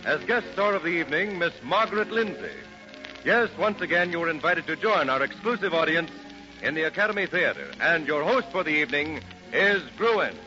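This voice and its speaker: Animated high-pitched 1930s radio announcer man. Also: a little fast. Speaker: program announcer. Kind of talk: formal 1930s radio introduction. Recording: dull 1930s radio recording. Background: music.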